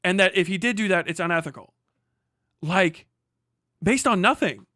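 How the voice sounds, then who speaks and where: A man's voice: high-pitched, normal speed, sharp tone, no echo, studio quality. A man doing heated live reaction commentary as a stream host, profane, combative and mocking. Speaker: livestream host reacting to a clip.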